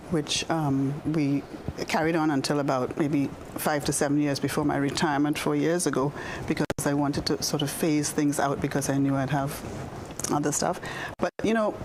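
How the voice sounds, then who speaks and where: Low-voiced woman in her sixties. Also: noisy background. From Bahamas. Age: 60s. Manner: warm, unhurried, many fillers and restarts. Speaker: guest doctor and diplomat. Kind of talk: studio interview. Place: television studio.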